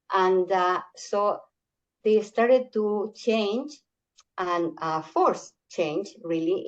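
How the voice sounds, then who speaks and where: An older woman from Chile, whose first language is Spanish, leads a community talk and pauses often on "uh" and "um" as she gathers her thoughts. Her voice sits fairly low. It comes through dull, muffled call audio. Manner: halting, many fillers and restarts. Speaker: workshop speaker.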